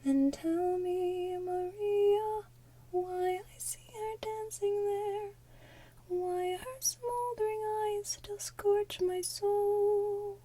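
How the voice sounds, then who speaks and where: A woman doing ASMR, singing and speaking in a soft, breathy, hushed whisper with a gentle delivery. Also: very high-pitched, fairly even intonation, drawn-out words. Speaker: ASMR channel host. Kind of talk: ASMR soft singing of animated film villain songs.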